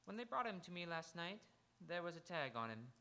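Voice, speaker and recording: A man playing a morbid, nervy medical examiner in a radio noir drama, with dramatic, ominous, halting phrasing. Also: sits high, very animated, sharp tone, full character voice. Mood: morbid, intense. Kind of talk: theatrical old-time radio noir acting.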